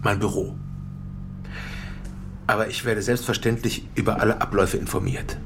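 Measured, businesslike politician for radio crime drama, lowish gravelly voice, clear music bed. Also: male voice, steady tone. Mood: businesslike, reassuring. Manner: matter-of-fact, measured, businesslike. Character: composed, controlled male mayoral candidate, former metalworker, conciliatory. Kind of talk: naturalistic German radio crime drama dialogue.

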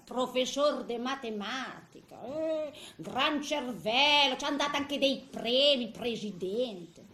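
High-pitched, grumbling old Tuscan woman. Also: fairly bright tone, reverberant. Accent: Tuscan Italian. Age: older. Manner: exclamatory, muttering asides, colloquial dialect grumbling. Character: feisty, grumbling eighty-year-old woman in a nursing home. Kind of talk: theatrical comic monologue.

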